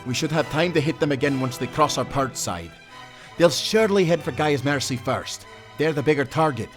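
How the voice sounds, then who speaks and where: A man's voice: fairly high pitch, expressive, moderate pace, natural voice. A man playing a loyal, salty sailor in a nautical audio drama, protective and urgent, with dramatic seafaring turns of phrase. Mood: alarmed, protective. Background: music.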